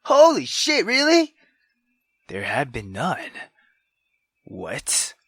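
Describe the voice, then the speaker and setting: A man reading a visual novel aloud as a let's player, expressive and informal. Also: on the high side, extremely slow, slightly rough, dry recording, studio quality.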